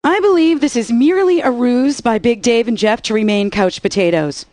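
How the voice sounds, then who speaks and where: A woman's voice: a little high, moderate pace, darkish tone, no room sound. A woman radio DJ with sassy, playful patter between songs.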